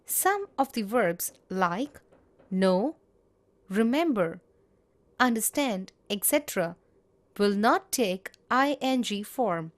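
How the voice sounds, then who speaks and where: A woman teaching grammar, reading example sentences in a measured, deliberate way. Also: medium pitch, expressive. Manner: measured, spells out each example. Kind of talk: slow, deliberate grammar lesson.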